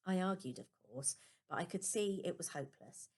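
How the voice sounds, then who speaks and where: A woman's voice: fairly low pitch, lively intonation, normal speed, a little mellow, breathy edge. A woman reading a children's novel aloud as a first-person storybook narration. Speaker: storybook reader.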